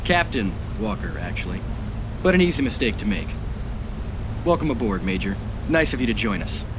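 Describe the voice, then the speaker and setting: A man's voice: on the low side, big pitch swings, moderate pace, background noise. A man playing a confident, wry American navy commander who narrates his war in a video game. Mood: wry, teasing. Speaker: narrator and protagonist. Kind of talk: scripted game narration and dialogue. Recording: music behind voice.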